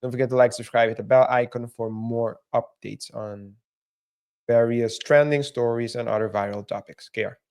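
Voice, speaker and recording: A man cohosting a commentary show, calmly giving audience reminders. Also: medium pitch, normal speed. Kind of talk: casual show sign-off chat.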